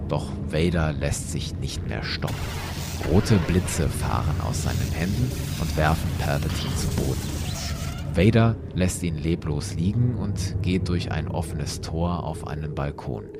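A man's voice: deep voice, heavy background noise. A man hosting a podcast who retells a story with vivid, dramatic narration. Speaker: podcast host. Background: music.

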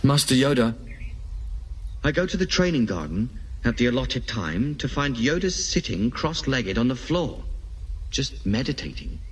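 A man's voice: medium pitch, big pitch swings, measured pace, husky. An actor playing a frustrated young male apprentice, narrating in the first person in an audio drama.

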